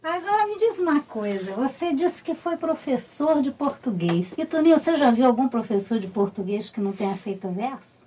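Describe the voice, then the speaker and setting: A woman with a high voice conducting a radio interview. She prompts her guest and completes his sentences for him. Her voice sits some distance from the microphone. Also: sing-song, normal speed, few pauses, dark tone. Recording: voice from far off the mic.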